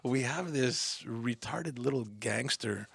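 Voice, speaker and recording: Gravelly Montreal man hosting a podcast. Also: medium pitch, slow pace, no room sound, clean studio sound. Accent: Montreal English. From Canada. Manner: animated storyteller, laughs often. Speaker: podcast host. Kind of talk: casual interview chat.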